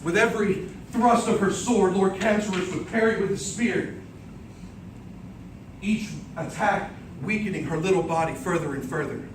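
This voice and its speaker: A man delivering a theatrical speech as an epic tale, with dramatic, declamatory and deliberate phrasing. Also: high voice. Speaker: speech contest speaker. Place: indoor room before an audience. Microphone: distant microphone. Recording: roomy, distant microphone, clearly noisy background.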